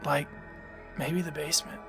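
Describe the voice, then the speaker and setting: A man's voice: fairly high pitch, level tone, moderate pace, vocal fry. Male actor as tired young man, resigned, casual, dismissive, audio drama, music behind. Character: sleepy, resigned young man, Aaron, downplaying strange noises. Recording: music under the voice.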